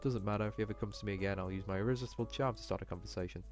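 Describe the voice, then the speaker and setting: A man's voice: lowish voice, steady tone, racing, a little mellow, soft background music, close to an everyday voice. A man voicing an anxious, self-doubting young cat student who talks fretfully to himself in a visual novel. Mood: resigned.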